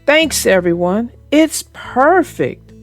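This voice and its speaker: A woman's voice: on the high side, big pitch swings, takes it slowly, faint music behind, full character voice. A woman voicing a good-natured young schoolboy who is reluctant about chores, in a children's storybook. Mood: agreeable.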